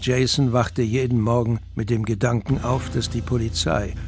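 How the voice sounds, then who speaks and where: A man's voice: medium pitch, little pitch movement, normal speed. A man narrating a scripted audio drama. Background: music.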